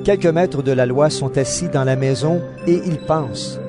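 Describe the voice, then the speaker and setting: A man's voice: fairly high pitch, normal speed. A man reading scripted narration for a dramatized audio Bible. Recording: narration over clear background music.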